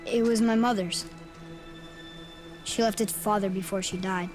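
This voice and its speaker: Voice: medium pitch, steady tone, unhurried, faint music behind, natural voice. An actor voicing a curious, eager young boy in a video game, talkative and observant. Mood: guarded. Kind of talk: naturalistic video game acting.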